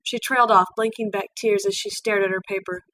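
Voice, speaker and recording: Lady reading fan fiction out loud, storyteller style, bit far from the mic, some background noise. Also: medium pitch, steady tone, brisk. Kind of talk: expressive amateur storytelling narration.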